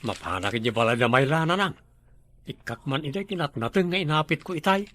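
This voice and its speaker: A man's voice: on the high side, expressive, fairly quick, fairly bright tone. A man playing an easygoing young village suitor. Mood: joking.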